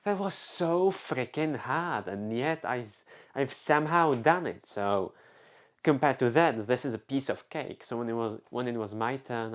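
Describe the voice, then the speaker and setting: A young man from the Czech Republic with a Czech accent, telling a casual personal story on a vlog, rambling, restarting and filling with "like". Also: medium pitch. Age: young adult. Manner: rambling, self-interrupting, many fillers. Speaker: vlogger.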